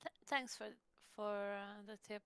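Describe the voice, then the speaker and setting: Bright, clear-voiced woman, casual roleplayer. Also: mid-range voice, unhurried, dry recording. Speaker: player in a tabletop roleplay game. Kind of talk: casual in-character chat.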